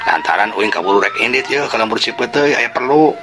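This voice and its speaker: A man's voice: mid-range voice, expressive, fast, voice pushed up, lightly acted. A man voicing a sly, pushy young villager who wheedles the shopkeeper for a share. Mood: wheedling. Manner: wheedling, insistent. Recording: music bed under voice.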